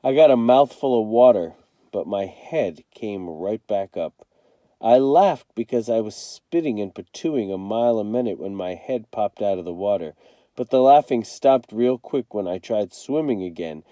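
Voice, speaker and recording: A man reading a children's novel aloud in an expressive, dramatic storytelling style. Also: lowish voice, normal speed, no echo, clean studio sound. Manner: dramatic, acts out the dialogue. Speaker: read-aloud reader, teacher.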